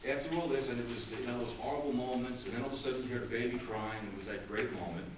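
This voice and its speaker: A man's voice: medium pitch, very fast. Man speaking in a very echoey room. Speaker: police captain presenting a commendation. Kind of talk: public award speech. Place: indoor public meeting room. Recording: echoey room, distant mic, clear background noise.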